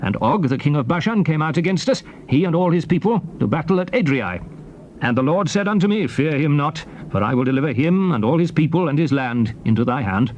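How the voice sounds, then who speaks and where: An actor playing a solemn old prophet recounting conquests to his people, in declamatory, measured theatrical scripture style. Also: fairly high pitch, expressive, a little mellow, muffled recording, close to an everyday voice. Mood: commanding. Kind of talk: dramatized scripture reading, theatrical oratory. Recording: faint noise from old cassette tape.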